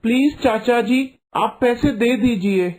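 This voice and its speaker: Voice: mid-range voice, unhurried, a little mellow, lightly acted, poor audio. A performer voicing an earnest, naive young male student in a cartoon, speaking politely and pleadingly. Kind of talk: Hindi cartoon voice acting.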